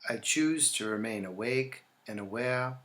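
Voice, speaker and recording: A man with a mid-pitched voice leads a guided relaxation session as a yoga teacher. He speaks calmly and instructively, leaving deliberate pauses between short phrases.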